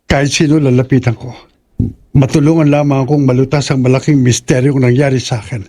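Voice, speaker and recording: A man playing husky young man haunted by nightmares. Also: mid-range voice, steady tone, brisk, mellow, natural voice. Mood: uneasy.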